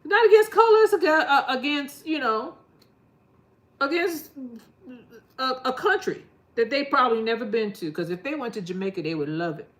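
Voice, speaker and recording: A woman's voice: high voice, expressive, moderate pace, slight background noise. A middle-aged woman from New York chatting casually to her gardening channel, rambling and laughing often. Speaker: gardening vlogger. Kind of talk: casual chat to camera.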